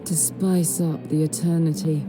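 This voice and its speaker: A woman's voice: low-pitched, little pitch movement, a little slow, over music. A woman playing a bold, teasing, bored young vampire aristocrat with a theatrical drawl in a video game. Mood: wry, jaded. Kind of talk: video game character acting.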